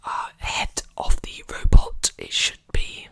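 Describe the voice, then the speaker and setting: A man in his early twenties whispering softly and breathily in an ASMR roleplay, soothing and repeating short phrases, very close to the microphone. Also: slow pace. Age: early 20s. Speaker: ASMR creator playing a robot repair technician. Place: small indoor room. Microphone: desktop condenser mic very close to the mouth.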